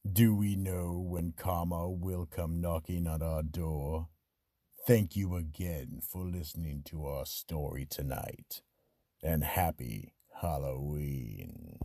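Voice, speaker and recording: An actor playing a grave, ominous horror storyteller, husky and measured, narrating a mystery radio drama. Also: male voice, low voice, warm tone, dry recording, studio quality, exaggerated voice.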